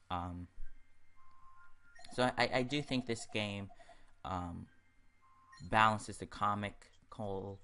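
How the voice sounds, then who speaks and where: A man's voice: fairly low pitch, bright, forceful tone, slight background noise. A man giving casual let's play commentary on a video game, in a hesitant, rambling, stop-and-start way. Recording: game music behind the voice. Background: video game music.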